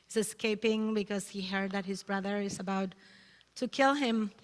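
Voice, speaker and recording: A woman from Chile, leading a Shabbat service, speaking in a halting, searching way and singing Hebrew verses. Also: mid-range voice, fairly even intonation. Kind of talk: Shabbat service sermon with chanting. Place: synagogue sanctuary. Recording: long reverb of a sanctuary.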